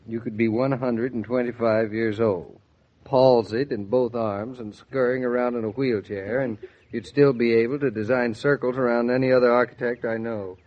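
An actor playing a teasing, stubborn but affectionate young American husband with wry, casual banter, in old-time radio drama style. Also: male voice, mid-range voice, steady tone, moderate pace, natural voice. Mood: earnest. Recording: muffled vintage radio transcription.

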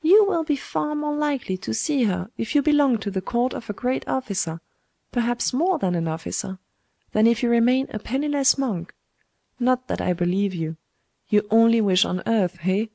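A woman's voice: a little high, animated, normal speed, creaky, warm tone, no echo, voice pushed up. A woman voicing a sly, domineering old woman schemer in a storybook, with a taunting, wheedling manner. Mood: imperious, coaxing. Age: older.

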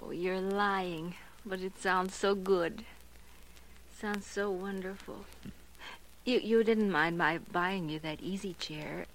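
An actress playing a lonely, devoted, lovestruck woman in a 1940s radio drama, breathless and halting. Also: medium pitch, steady tone. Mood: adoring, wistful. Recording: noisy vintage radio drama recording.

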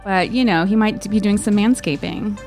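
A woman's voice: medium pitch, normal speed, a little mellow, faint music behind. A woman co-hosting a podcast in casual, reactive conversation. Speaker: podcast co-host. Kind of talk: casual chat, reacting to readings.

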